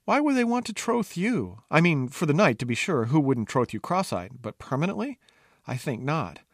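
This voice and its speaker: A man's voice: a little high, big pitch swings, normal speed, no echo, studio quality, voice pushed up. A man voicing a cocky, bawdy court jester in a storybook, with mocking, melodramatic, mock-archaic delivery. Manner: theatrical, mock-archaic wordplay.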